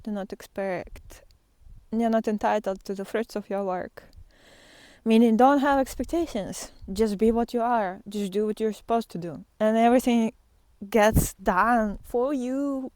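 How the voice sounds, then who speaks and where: A woman giving an unscripted, reflective spiritual monologue, thinking aloud with pauses and earnestly repeating key phrases. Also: on the high side, a little mellow, no echo. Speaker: spiritual teacher and artist.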